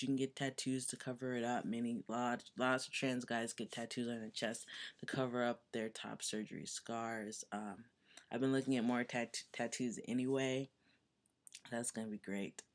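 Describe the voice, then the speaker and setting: Young adult male vlogger, mid-range voice still settling lower, rambling and sincere, casual home-video delivery. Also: fairly bright tone. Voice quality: voice still deepening, not yet fully low. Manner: chatty and earnest, rambling with many fillers. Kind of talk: casual personal video update.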